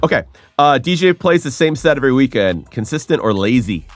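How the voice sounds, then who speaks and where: A man hosting a DJ podcast who reads out quick either-or questions and chats casually. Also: mid-range voice, expressive, dry recording, soft background music. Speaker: DJ and podcast host.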